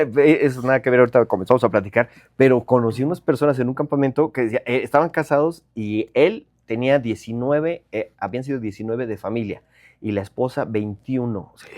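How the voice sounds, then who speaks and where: A man's voice: mid-range voice, darkish tone, dry recording, clean studio sound. A Mexican man hosting a podcast, chatting in a playful, upbeat way with his guest. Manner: upbeat and playful, drawn-out enthusiastic intro. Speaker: podcast host. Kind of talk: casual interview chat.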